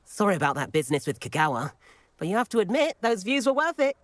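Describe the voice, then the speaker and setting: Eager schoolboy with lively intonation. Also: male voice, high-pitched, fast, no echo. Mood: friendly, wistful. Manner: chatty, eager, well-spoken. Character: eager, cheeky teenage schoolboy wizard, adventurous, polite. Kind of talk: video game character acting.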